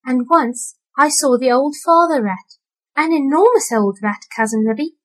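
A woman's voice: high voice, expressive, normal speed, fairly bright tone. A woman voicing an anxious, tearful mother cat who talks in a fretful, lamenting way.

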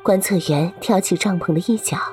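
A woman's voice: medium pitch, a little fast, a little mellow, faint music behind. A woman reading scripted story narration for an audio drama.

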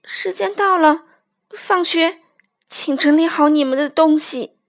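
A woman's voice: extremely high pitch, measured pace, pitched above the performer's own voice. A woman voicing a strict, easily startled female schoolteacher in a children's story, with a commanding manner. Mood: shaken. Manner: commanding, instructive.

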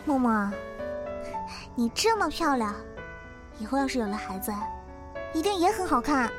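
A woman playing a soft-spoken, tender young woman in a radio drama, gentle and polite. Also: high voice, big pitch swings, normal speed. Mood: eager, affectionate. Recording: music behind the voice.

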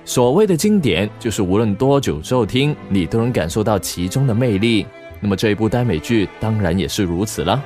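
A male host reads a scripted introduction in a fairly low voice. His intonation moves up and down expressively, over soft music. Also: expressive, a little fast, few pauses. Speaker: host of an audio drama recommendation show. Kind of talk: scripted presenting. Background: background music.

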